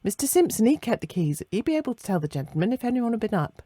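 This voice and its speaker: A woman's voice: fairly high pitch, sing-song, quick pace, dark tone, breathy edge, no echo, voice pushed up. A plain-spoken servant maid in a storybook, put on by a woman reader, deferential and colloquial. The voice is lifted above the reader's own, recorded dry and close. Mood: defensive.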